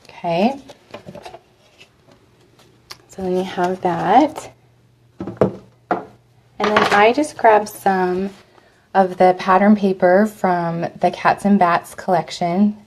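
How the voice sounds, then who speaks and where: A woman with a cold and a slightly raspy voice, chatting through a live step-by-step craft demo, her voice dropping off as she leans away from the mic to work. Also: mid-range voice, slow pace, long pauses, a little hiss or hum. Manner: chatty, step-by-step instructions with fillers. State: hoarse from a cold. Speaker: craft host.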